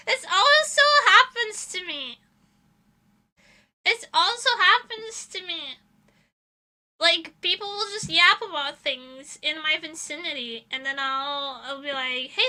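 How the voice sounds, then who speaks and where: Young female VTuber streamer, high and piercingly bright voice, informal giggly delivery, rambling anecdotes in a casual chat style. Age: young adult. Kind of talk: casual livestream chat and storytelling.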